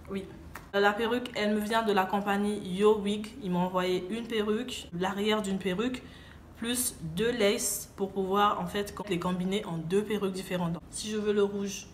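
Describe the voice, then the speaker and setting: A woman's voice: mid-range voice, a little hiss or hum. A young woman talking to camera as a beauty YouTuber, chatty and enthusiastic with casual slang. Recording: echoey room.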